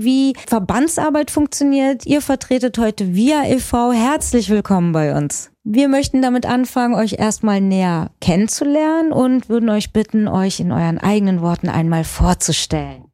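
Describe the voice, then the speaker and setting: A woman's voice: fairly high pitch, expressive, normal speed, dark tone, no room sound, studio quality. A woman working as a radio interviewer, introducing guests and putting semi-prepared questions to them.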